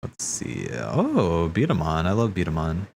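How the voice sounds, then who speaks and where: A man's voice: low-pitched, wildly swooping pitch, measured pace, creaky, darkish tone, slight background noise. A man hosting a livestream, talking in a casual, slangy, rambling way as he thinks aloud. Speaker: livestream host. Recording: background music.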